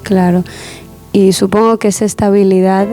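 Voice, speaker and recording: Mellow-voiced woman hosting a church program. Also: mid-range voice, flat delivery, moderate pace, faint music behind. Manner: warm pastoral, repeats words, many affirming murmurs.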